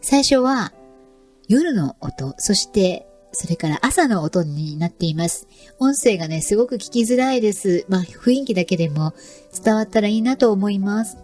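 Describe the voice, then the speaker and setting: A woman's voice: medium pitch, expressive, fast, darkish tone, faint music behind. A woman hosting a nature program, speaking politely and explaining as she introduces segments. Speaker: host. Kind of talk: radio-style narration.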